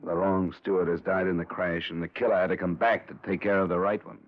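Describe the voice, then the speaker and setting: An actor playing a tough, skeptical insurance investigator in a 1950s radio crime drama, terse and world-weary. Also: male voice, sits low, little pitch movement, quick pace, slight background noise, lightly acted. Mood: grim. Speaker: lead actor and narrator. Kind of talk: 1950s hard-boiled radio crime acting. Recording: muffled old radio recording with music.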